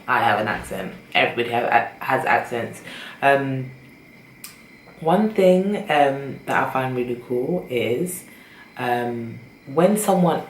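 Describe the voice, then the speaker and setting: A young woman with a British accent, chatting casually and rambling to her camera. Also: a bass voice. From Britain. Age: young adult. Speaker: vlogger. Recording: echoey room with faint noise.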